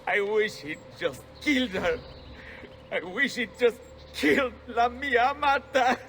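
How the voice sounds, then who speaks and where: A man's voice: extremely high pitch, measured pace, heavy background noise. An actor playing a bitter, grief-stricken Italian man, near tears, in a video game, with an Italian accent. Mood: anguished, bitter.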